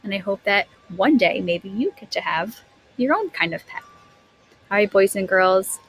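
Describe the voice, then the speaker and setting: A woman's voice: medium pitch, lively intonation, moderate pace, fairly bright tone, faint music behind, low-quality recording. A woman teacher reading a picture book aloud to young children, expressively, stretching words and putting questions to her listeners.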